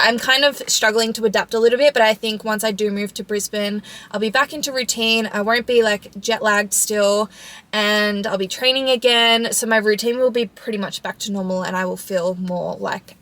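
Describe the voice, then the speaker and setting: A woman's voice: mid-range voice, fairly bright tone. A woman in her mid twenties with an Australian accent, chatting casually in a vlog Q&A, informal, with frequent "like" and trailing phrases. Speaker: vlogger and sprinter answering audience questions.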